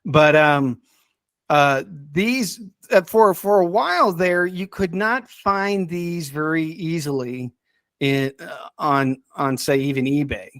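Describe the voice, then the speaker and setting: A man hosting a casual podcast, talking enthusiastically but haltingly as he searches for names and details. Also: on the high side, fairly bright tone, no echo, studio quality. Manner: enthusiastic about the subject. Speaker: podcast host.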